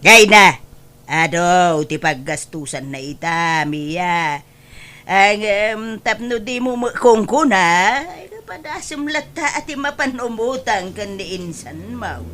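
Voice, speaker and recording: Woman playing a weary, slow-talking older mother. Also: medium pitch, expressive, a bit bright. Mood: concerned. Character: weary older mother and aunt, homely and complaining. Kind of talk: Ilocano comedy drama acting.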